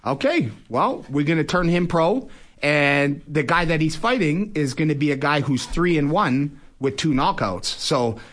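A man's voice: on the high side, lively intonation, a little slow, fairly bright tone. A man, speaking plainly and emphatically as a boxing promoter and fighter in a conversational interview.

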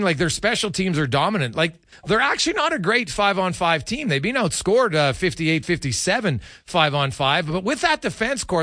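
Male sports show host, bright forceful voice, slightly high pitch, casual interview chat. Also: no room sound, clean studio sound.

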